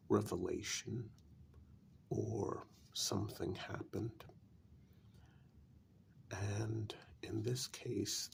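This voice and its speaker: A man playing a calm, frank vampire in a soft-spoken, halting ASMR role-play, often dropping close to a whisper. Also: lowish voice, vocal fry, heavily acted. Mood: grave, explanatory. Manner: slow, deliberate, halting with long pauses.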